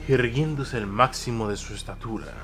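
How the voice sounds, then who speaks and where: A man narrating a war tale as a dramatic audiobook, measured, solemn and emphatic. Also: mid-range voice, a bit bright. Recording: music behind the voice.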